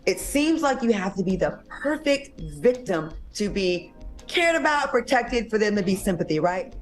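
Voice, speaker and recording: A woman rants to her audience in an emphatic way. Her voice is high and very animated. Faint music plays behind her. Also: moderate pace. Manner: emphatic, addresses listeners as "y'all". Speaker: online commentator. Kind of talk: impassioned video rant.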